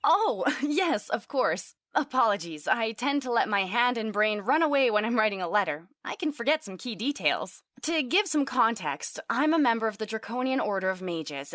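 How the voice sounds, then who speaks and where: A woman's voice: fairly high pitch, very animated, moderate pace, few pauses, fairly bright tone, no room sound, clean studio sound. A woman playing a polite, chatty elven sorceress and scholar in a fantasy audio drama. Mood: eager, polite. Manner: chatty, courteous, formal wording.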